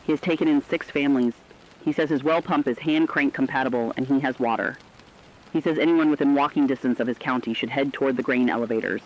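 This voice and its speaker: A man's voice: medium pitch, quick pace, lightly acted. A man playing a somber, humane radio correspondent, telling survivors' stories in a reflective, scripted report. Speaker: radio broadcaster. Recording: dull, lo-fi radio sound.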